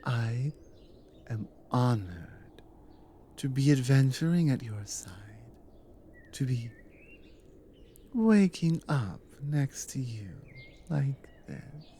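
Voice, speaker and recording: Slow, mellow Nordic warrior wife, softly teasing, acted by a woman. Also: expressive, slight background noise, exaggerated voice. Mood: tender. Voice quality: soft, low, breathy with whispered stretches. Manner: teasing, unhurried, affectionate. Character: teasing, devoted Nordic adventurer wife, dominant, warmly intimate. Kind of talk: ASMR roleplay, intimate bedside talk.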